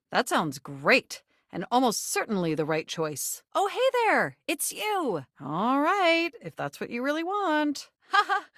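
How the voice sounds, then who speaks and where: A woman's voice: on the high side, a bit bright, no room sound, clean studio sound. A woman voicing a personal computer in a video game, polite, literal and affectionate, with formal, precise, wordy phrasing. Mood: polite, curious, then cheerful, exasperated. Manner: formal, precise, verbose wording. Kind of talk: video game character voice acting.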